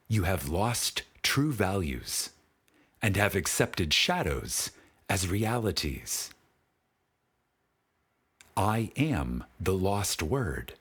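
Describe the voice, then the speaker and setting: A man with a husky voice reads a spiritual audiobook in a solemn, deliberate manner with dramatic pauses. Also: low-pitched, a bit bright. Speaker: audiobook narrator. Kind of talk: scripted spiritual audiobook narration.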